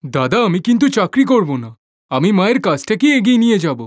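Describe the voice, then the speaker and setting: A woman voicing a devoted, eager young son in an animated moral story, earnest and pleading. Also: mid-range voice, a little fast, dry recording, studio quality.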